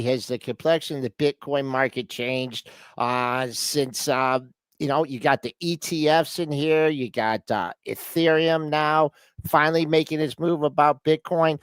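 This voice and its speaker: A man hosting a casual live market show, lively and playful, asking long rambling questions. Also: fairly high pitch, a little slow, clean tone, dry recording. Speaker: show host.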